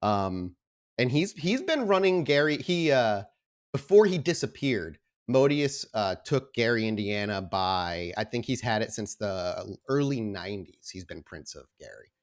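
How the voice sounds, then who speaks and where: A man improvising narration as game master of a tabletop game, with a halting delivery full of repeated words. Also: lowish voice, very animated. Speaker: game master of an actual play podcast.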